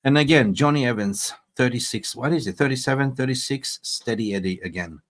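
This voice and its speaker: A man from Sweden hosting a casual post-match football fan chat, blunt and swearing, getting excitable as he reacts to live scores. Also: mid-range voice, brisk. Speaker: football fan channel host.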